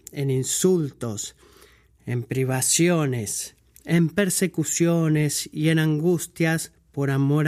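A man with a fairly high voice delivers a sermon from the pulpit. He speaks in a measured, unhurried way, pausing often and correcting his own slips as he goes. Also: darkish tone. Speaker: preacher. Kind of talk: scripture reading and sermon.

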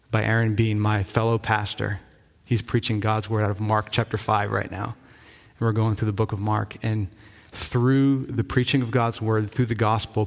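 A man from Rhode Island, a pastor, giving an earnest, informal update from the pulpit, often restarting his sentences. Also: on the low side. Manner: earnest, enthusiastic, halting with frequent restarts. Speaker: visiting church-planting pastor. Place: church sanctuary. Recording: long reverb of a church hall.

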